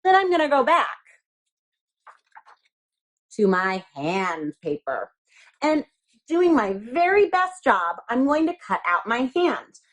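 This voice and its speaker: A woman's voice: on the high side, very animated, slow, long pauses. A woman teacher giving step-by-step craft instructions to young children, speaking simply and encouragingly with repeated reminders. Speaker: elementary school teacher.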